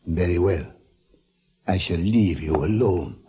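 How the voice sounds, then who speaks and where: An actor in a 1940s radio drama playing a solemn, eerie, ceremonious attendant who gives ominous, measured instructions. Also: male voice, low-pitched. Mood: ominously polite. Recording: old radio recording with hiss.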